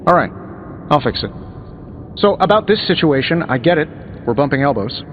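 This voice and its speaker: An actor playing a wisecracking, easygoing wealthy superhero inventor in a video game, talking in a glib, wry, conversational way. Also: male voice, on the high side, big pitch swings, moderate pace, lo-fi sound, a little hiss or hum. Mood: conciliatory. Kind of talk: video game dialogue acting.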